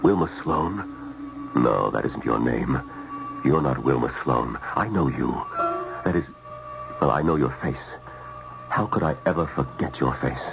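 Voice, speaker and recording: Modest, idealistic businessman, American, very low gravelly voice, lively delivery, vintage radio drama. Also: unhurried, pauses often, heavily acted. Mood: haunted, intense. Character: earnest, modest married businessman with civic ideals. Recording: muffled vintage radio with music.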